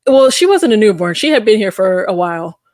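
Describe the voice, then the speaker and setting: A woman co-hosting a casual chat podcast, rambling and animated, jumping into high-pitched voices when quoting herself. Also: a little fast, dry recording, studio quality. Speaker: podcast co-host.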